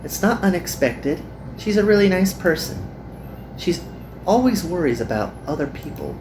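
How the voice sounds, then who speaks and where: A woman voicing a kind, earnest young magical girl in a visual novel, with gentle and formal phrasing. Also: fairly low pitch, very animated, normal speed, noisy background. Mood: sympathetic.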